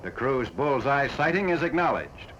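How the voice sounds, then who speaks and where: A man's voice: medium pitch, big pitch swings, fairly bright tone. Male narrator for a 1940s war newsreel, factual and formal, on a lo-fi, slightly noisy vintage soundtrack. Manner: formal, factual, measured delivery.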